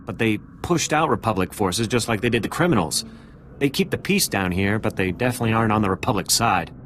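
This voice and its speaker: An actor playing a nervous, jumpy security officer in a video game, with an anxious, hesitant way of talking. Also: male voice, lowish voice, lively intonation, fast talker, slight background noise. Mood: earnest, uneasy. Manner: hesitant, anxious, hushed urgency. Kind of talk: video game character acting.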